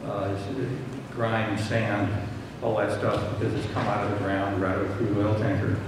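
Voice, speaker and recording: Panelist man, low voice, explanatory, recorded from far off in a roomy hall. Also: normal speed. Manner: explanatory, relaxed, with many fillers. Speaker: panelist answering audience questions. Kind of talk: conference Q&A answers. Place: conference hall panel table. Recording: far-off mic, echoey hall, background noise.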